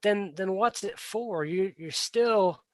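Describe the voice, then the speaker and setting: Earnest high-voiced man, dry sound. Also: slow, no room sound. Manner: earnest, repeats words as he restarts sentences; plain-spoken and blunt. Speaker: livestream host. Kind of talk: casual solo livestream talk.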